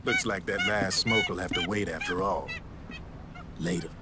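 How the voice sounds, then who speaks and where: Low-voiced, slick streetwise arms dealer. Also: male voice, wildly swooping pitch, normal speed. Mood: cool, cynical. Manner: slangy, smooth-talking, casual swagger. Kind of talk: dramatic video game voice acting. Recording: clear background noise and ambience.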